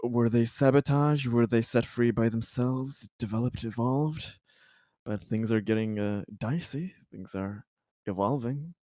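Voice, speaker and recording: A man's voice: medium pitch, measured pace, dark tone, no room sound. A man playing in a tabletop game, chatting casually and swearing freely. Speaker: player. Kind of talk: casual chat.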